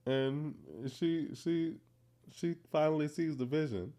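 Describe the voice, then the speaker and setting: A man in his thirties with a Boston accent, co-hosting a podcast and telling stories in a playful, animated way. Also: a little high, takes it slowly. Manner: playful, animated storytelling, teasing. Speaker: podcast co-host. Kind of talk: casual chat.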